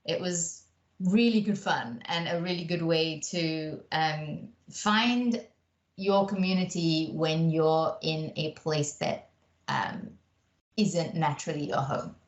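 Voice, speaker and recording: A reflective woman podcast guest from Malaysia, speaking with a British accent in a fairly low voice. The sound is noticeably roomy, and she talks conversationally, tagging thoughts with "right?". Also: takes it slowly, lo-fi sound. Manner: reflective, often adds "right?" as a tag. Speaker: podcast guest, mentor and coach. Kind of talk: conversational interview.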